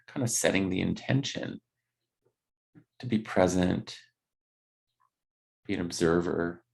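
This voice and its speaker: Low, gravelly man guiding meditation. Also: slow pace. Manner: gentle, unscripted, hesitant phrasing. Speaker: meditation guide. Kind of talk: guided body scan meditation.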